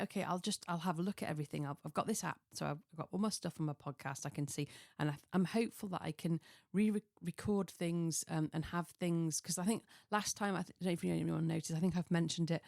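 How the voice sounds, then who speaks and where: A woman with a fairly low, slightly croaky voice hosts a casual solo podcast. She rambles in a self-deprecating way and drifts into tangents. The sound is dry and close, from a small home office. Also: a little mellow, no echo. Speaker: podcast host.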